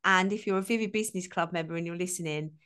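An enthusiastic woman podcast host in her thirties, chatting warmly with a guest and repeating her excitement, on a desk mic. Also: on the low side, quick pace, smooth. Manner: gushing, enthusiastic, repeats herself. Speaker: podcast host, self-belief coach. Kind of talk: casual interview chat. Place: bright home office. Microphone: studio desk mic on a video call.

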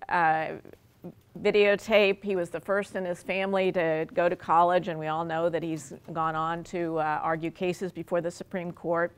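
A woman's voice: on the low side, fairly bright tone, smooth. An older American woman from Texas gives an informal autobiographical talk to a lecture room, with a wry, self-deprecating manner. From Dallas, Texas. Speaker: university speaker. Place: lecture room with audience, indoors.

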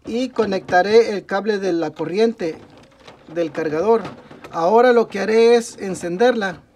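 A young man with a Mexican Spanish accent, walking through a computer repair step by step at his workbench, often pausing to correct himself. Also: high voice, darkish tone, a little hiss or hum. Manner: talks through each step while working, frequent "ok, amigos" and self-corrections. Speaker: tech tutorial host. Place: indoor workbench at a desk.

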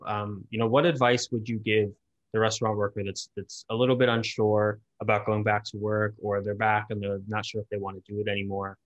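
A man's voice: lowish voice, no room sound, dull audio. A man hosting a restaurant industry podcast, asking long, loosely worded questions with lots of fillers. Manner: quick, with many fillers, asks long questions. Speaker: podcast host. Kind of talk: casual interview chat.

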